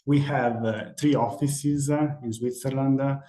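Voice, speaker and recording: A man's voice: medium pitch, steady tone, measured pace, warm tone, reverberant. A man from Italy with an Italian accent, a recruiter giving a live webinar presentation, hesitant and full of fillers. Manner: hesitant, many fillers and restarts. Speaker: talent acquisition recruiter presenting.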